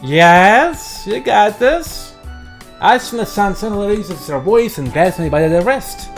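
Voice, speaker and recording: Animated man narrating a visual novel over music. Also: sits high, unhurried. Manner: excitable outbursts between narrated lines. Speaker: visual novel let's play host. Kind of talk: reading game text aloud with casual commentary. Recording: game music behind the voice. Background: game background music.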